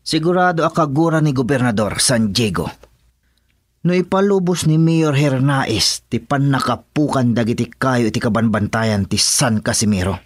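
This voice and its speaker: A man's voice: medium pitch, normal speed, no room sound, clean studio sound, close to an everyday voice. A man with an Ilocano accent acting a respectful, obedient henchman to a crime boss in a radio drama. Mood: assuring. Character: deferential henchman of a crime boss, assuring loyalty.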